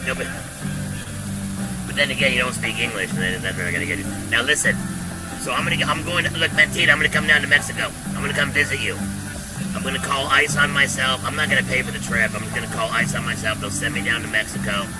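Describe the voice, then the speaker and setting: A man's voice: mid-range voice, fast, over loud music, low-quality recording. A man hosting a casual streamed show, riffing through a loose comic monologue and repeating his lines. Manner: loose, joking, repeats himself. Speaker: show host.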